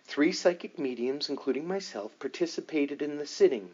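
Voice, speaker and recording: A man voicing a composed, earnest woman psychic investigator in an audiobook, measured, matter-of-fact and assured. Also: a little high, expressive, darkish tone, husky, dull audio. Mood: composed, explanatory. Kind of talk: audiobook dialogue reading.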